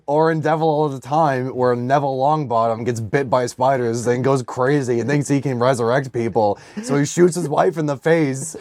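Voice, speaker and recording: A young man co-hosts a comedy podcast in loose, casual banter. His voice sits at an average pitch and is recorded dry, and he riffs crudely with plenty of profanity. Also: moderate pace, hardly any pauses, a little mellow, dry recording. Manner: crude, profanity-laden, riffing. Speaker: podcast co-host. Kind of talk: casual comedic banter about comics and movies.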